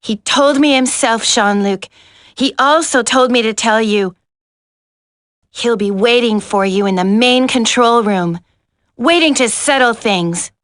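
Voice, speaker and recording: An actress playing a brave, gentle female teammate in a video game drama, speaking in a halting, earnest way. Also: on the high side, no room sound, studio quality. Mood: grave, hesitant.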